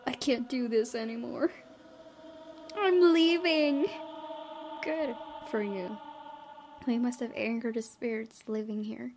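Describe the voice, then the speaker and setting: Higher-voiced woman narrating a horror game. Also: takes it slowly, long pauses. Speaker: gaming video host. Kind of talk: let's play, reading visual novel text aloud with spontaneous reactions. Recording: noticeable background noise and ambience.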